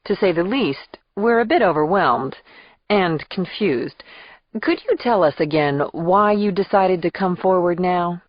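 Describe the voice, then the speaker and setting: Woman acting sceptical female attorney, composed, pointed formal questions, low husky voice, audiobook legal drama. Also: lively intonation, unhurried, dry recording, highs cut off. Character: composed, sceptical female lawyer cross-examining a witness.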